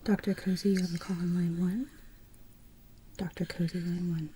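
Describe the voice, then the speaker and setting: A woman's voice: fairly low pitch, flat delivery, moderate pace, background noise. A woman whispering through an ASMR roleplay as a polite hospital receptionist taking phone calls.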